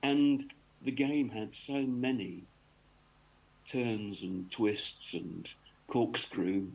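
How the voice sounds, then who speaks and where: A man's voice: lowish voice, extremely slow, creaky, slightly rough. A man from North East England, a cricket author, talking through his work in a rambling radio interview full of ums and restarts. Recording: tinny phone line.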